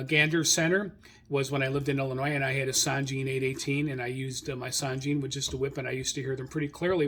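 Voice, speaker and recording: A middle-aged or older American man hosting an informal radio hobby monologue, rambling haltingly and repeating phrases. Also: medium pitch, a bit bright. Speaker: radio hobby show host.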